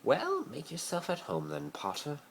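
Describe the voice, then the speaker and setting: Whiny, haughty teen boy, played by a man, lifted pitch, affected and snappy, noisy amateur story reading. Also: wildly swooping pitch, moderate pace, vocal fry, a bit bright, full character voice. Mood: awkward. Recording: noisy amateur phone recording.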